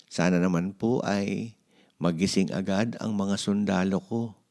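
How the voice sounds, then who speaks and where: A man's voice: deep voice, steady tone, measured pace, a little mellow. A man with a Filipino accent voicing a polite, anxious little boy in a children's storybook. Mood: anxious, praying. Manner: polite, meek.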